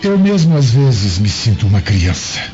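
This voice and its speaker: An actor playing a lecherous, opportunistic Roman governor in a radio drama, insistent and theatrical. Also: male voice, lowish voice, very animated, moderate pace, mellow. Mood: seductive. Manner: smooth-talking, insistent, theatrical. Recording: muffled lo-fi, music underneath.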